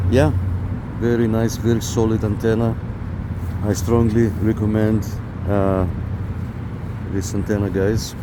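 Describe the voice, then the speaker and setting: Man, lowish voice, informal video host, hands-on review, chatty direct address, clear background noise from outdoors and car. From Canada. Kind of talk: casual hands-on product review. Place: outdoors in a parking lot and inside a parked car. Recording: noisy outdoor recording with car sounds.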